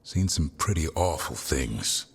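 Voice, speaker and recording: An actor playing a gruff, wary veteran warden in a video game, with a rough, husky voice. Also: male voice, extremely low pitch, animated, measured pace, long reverb tail, full character voice. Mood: wary, grim.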